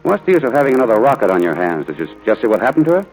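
A stern, practical ranch father in a 1940s radio drama. The delivery is blunt and authoritative with lively intonation, on an old broadcast recording with some music. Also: fairly low pitch, racing, poor audio. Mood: stern, insistent. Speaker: actor in a radio play.

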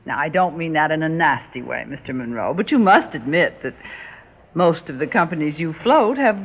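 A woman's voice: low-pitched, animated, normal speed, husky, heavily acted. An actress playing a shrewd, poised businesswoman with a polished, arch, teasing manner in 1960s radio drama style. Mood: cool, knowing. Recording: dull lo-fi old radio recording.

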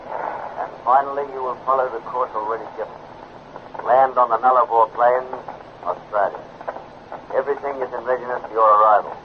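A man's voice: mid-range voice, brisk, pauses often, lightly acted. Man playing a formal, clipped radio controller. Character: brisk official male radio controller. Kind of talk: 1950s radio serial acting. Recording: muffled, noisy 1950s radio recording.

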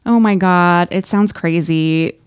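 A woman's voice: fairly low pitch, slow pace, smooth, no echo. Wry woman co-host, dry close sound. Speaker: podcast co-host. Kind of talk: casual book-discussion chat.

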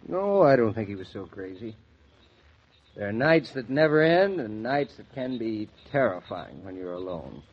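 Teasing young husband, muffled old radio play. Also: male voice, fairly low pitch, sing-song, normal speed. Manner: joking, wry. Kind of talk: 1940s radio acting. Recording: dull old radio recording with faint hiss.

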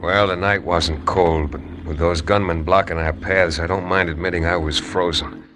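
An actor playing an easygoing frontier lawyer who narrates a 1950s radio western. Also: male voice, low voice, steady tone, moderate pace, over loud music, close to an everyday voice. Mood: laid-back. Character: easygoing frontier lawyer, man, dry wit. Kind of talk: 1950s radio drama acting. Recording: old radio broadcast with crowd noise.

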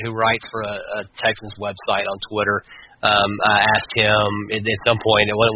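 Man, muffled recording with clear noise, lowish voice, casual sports analysis, halting delivery, podcast host. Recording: muffled, clearly noisy, radio-like audio.

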